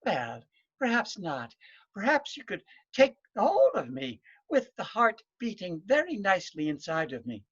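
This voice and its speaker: Folktale young woman played by a man: gentle, practical, patient, soft-spoken; slightly lo-fi sound. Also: high-pitched, wildly swooping pitch, measured pace, husky, pitched above the performer's own voice, heavily acted. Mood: gently coaxing. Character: pretty young village lass, kind, calm and practical.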